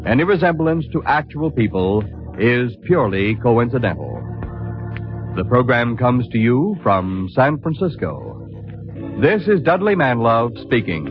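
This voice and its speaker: Lively vintage male radio announcer. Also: medium pitch, a little slow. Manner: formal, polished. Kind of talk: 1950s radio announcing, scripted credits. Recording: muffled old radio recording with music.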